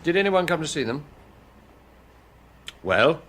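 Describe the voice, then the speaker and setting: A man acting in a British radio detective drama as an insistent, authoritative police chief who questions briskly and with impatience. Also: a little high, big pitch swings, a bit bright, slight background noise. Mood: pressing, methodical. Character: authoritative male police chief, probing, impatient, menacing interrogator.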